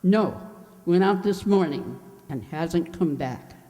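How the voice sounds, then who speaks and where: A man's voice: fairly high pitch, takes it slowly, dark tone, lo-fi sound. A man playing a surly, suspicious old landlady in a radio play, with curt, clipped replies. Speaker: amateur radio drama actor. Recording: echoey hall, long reverb.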